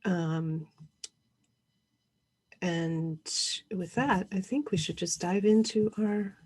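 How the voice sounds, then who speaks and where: A woman co-hosting an online session, introducing things in an informal, slightly halting way. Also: fairly low pitch, a little mellow, breathy edge. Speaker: co-host presenting an online convention session.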